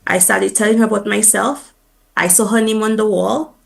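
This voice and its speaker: A woman from Dominica with a Dominica accent, a teacher talking as a guest in a radio interview. She is animated and proud, laughs often, and uses fillers like "um" and "like". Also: medium pitch, moderate pace, creaky. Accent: Dominican (Dominica) Caribbean English.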